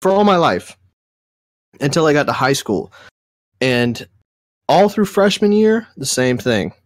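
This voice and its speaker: A man's voice: fairly high pitch, big pitch swings, measured pace, no echo, studio quality. A young man from the States, a little nasal from a cold, telling a rambling story in casual chat with friends. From Southern United States. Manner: rambling, self-correcting storytelling. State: recovering from a cold, a bit nasal. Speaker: podcast co-host.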